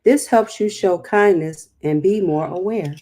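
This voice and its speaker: A woman's voice: medium pitch, unhurried, mellow. A woman hosting a storytime, reading a picture book aloud with chatty, encouraging, emphatic asides.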